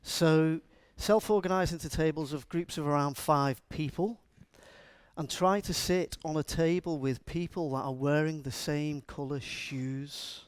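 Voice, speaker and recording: A man's voice: fairly high pitch, unhurried, smooth, no echo. A middle-aged man from Liverpool with a Scouse accent, speaking live to a conference audience in a chatty, joking way and asking them questions. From Liverpool, England. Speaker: conference speaker and agile coach. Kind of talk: live conference talk to a room.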